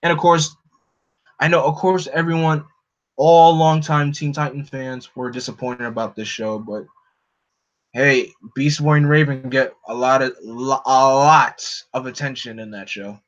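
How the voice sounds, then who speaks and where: A man hosting a casual live stream, talking in an opinionated, animated way that jumps up in pitch when he gets excited. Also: a little slow. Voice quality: no regional accent. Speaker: streamer host. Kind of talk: casual chat to a live audience. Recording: dull lo-fi home stream audio.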